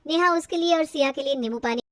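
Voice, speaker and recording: A woman's voice: sits high, fast, no echo, studio quality. A woman narrating a scripted family story as a storyteller. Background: music.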